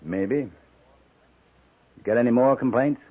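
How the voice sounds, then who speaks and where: An American man playing a steady, plain-spoken cavalry captain in a 1950s radio western, firm and laconic. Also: mid-range voice, takes it slowly, husky, phone-quality sound. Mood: firm, unflinching. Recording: band-limited 1950s radio recording.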